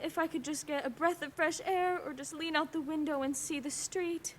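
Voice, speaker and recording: A woman's voice: fairly even intonation, normal speed, a little hiss or hum, natural voice. A woman playing a demanding, self-pitying bedridden wife in a melodramatic suspense radio play. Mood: fretful, impatient. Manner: shrill, imperious, melodramatic.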